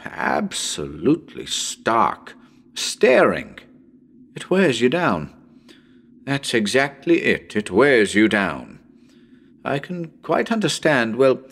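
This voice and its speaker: A man playing a radio broadcaster unravelling into madness in a haunted house, halting and muttering, then breaking into exclamations. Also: mid-range voice, gravelly, a bit bright, full character voice. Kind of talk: dramatic reading of a live radio broadcast.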